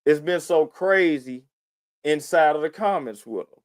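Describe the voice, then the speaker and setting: A man's voice: on the high side, animated, measured pace, dry recording, studio quality. A man hosting a podcast in casual, slangy talk, often addressing the listener as "man". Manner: informal slangy talk, frequent "man" and "you know what I'm saying". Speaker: podcast host.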